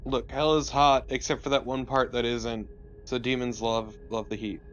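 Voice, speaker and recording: A man's voice: medium pitch, little pitch movement, moderate pace, fairly bright tone, muffled recording, slight background noise. A man giving casual co-commentary on a video game, with a wry way of talking. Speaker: let's play co-commentator.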